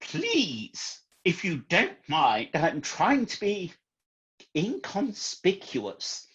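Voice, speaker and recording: An actor playing a courteous, fussy priest with a husky voice in a comedy radio play. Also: male voice, high-pitched, huge pitch swings, drawn-out words, a bit bright, heavily acted. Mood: pleading. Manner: formal, polite, fussy. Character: courteous, fussy, disgraced priest trying to stay inconspicuous. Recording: dull, muffled home recording.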